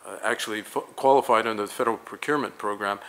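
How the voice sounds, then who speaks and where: Polished male interviewer, bright voice. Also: lowish voice, poor audio. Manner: polished, leading questions, measured delivery. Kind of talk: promotional interview.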